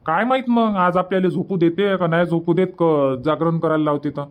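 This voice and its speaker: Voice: mid-range voice, steady tone, brisk, a little hiss or hum, close to an everyday voice. A performer plays a village husband and father from a cartoon comedy. He speaks in a Varhadi Marathi accent, handing out orders and scolding like an exasperated head of the house. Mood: bossy. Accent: Varhadi Marathi from Vidarbha. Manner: bossy, scolding.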